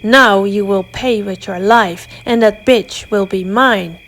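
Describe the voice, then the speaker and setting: A woman voicing a cruel male werewolf who snarls loud threats at a wizard. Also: mid-range voice, slow, a little hiss or hum, rough recording. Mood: snarling threat.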